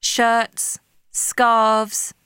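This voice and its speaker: Slow, deliberate woman in paired discussion. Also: on the high side, monotone, sharp tone, clean tone, no echo, studio quality. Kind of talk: paired speaking-task discussion.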